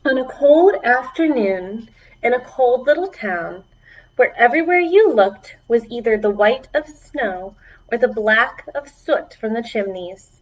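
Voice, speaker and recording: A woman's voice: fairly high pitch, highs cut off. A woman children's librarian reading aloud to preschoolers, with encouraging asides to the little listeners. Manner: encouraging, addressing small children.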